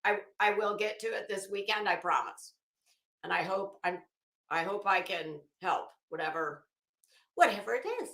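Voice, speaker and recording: A woman's voice: medium pitch, moderate pace, fairly bright tone. A middle-aged woman chatting casually as a livestream host, greeting viewers with teasing, salty banter. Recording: echoey room.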